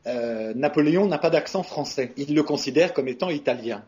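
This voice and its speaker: A man's voice: fairly high pitch, animated, a little fast, darkish tone. A man from France, a voice actor, answering interview questions in a hesitant, casual way with many fillers and restarts. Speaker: voice actor guest. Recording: muffled lo-fi recording.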